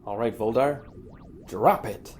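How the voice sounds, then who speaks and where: A woman's voice: extremely low pitch, slow pace, a little mellow, soft background music. A woman playing a shrewd, no-nonsense Martian tactician in an audio drama. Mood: scornful, firm.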